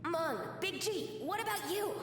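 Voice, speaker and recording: A woman's voice: very high-pitched, animated, a little slow, far from the mic. A food-loving little boy, echoey. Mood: eager. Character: earnest little boy who loves food. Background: game music.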